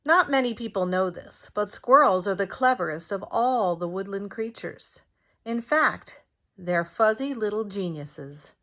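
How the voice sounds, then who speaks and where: A woman reads a storybook aloud for young children. Her pace is slow and deliberate, with an expressive storytelling manner and a mid-range pitch. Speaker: teacher reading aloud.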